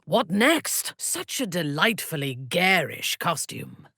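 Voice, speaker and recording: A woman playing an imperious, ruthless dark elf warrior in a video game, speaking in a haughty, deliberate, formal manner with cold menace. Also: on the low side, very animated, no echo, clean studio sound, heavily acted. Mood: dry, haughty.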